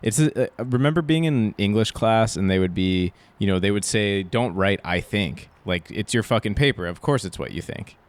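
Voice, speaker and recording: This is a man with a deep, bassy voice, talking casually as a podcast host. He is relaxed and loose, swearing freely and breaking into laughs. He sounds close on a podcast mic. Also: moderate pace, few pauses. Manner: laid-back, swears freely, laughs often. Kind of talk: casual chat. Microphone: in-person podcast mic with phone backup.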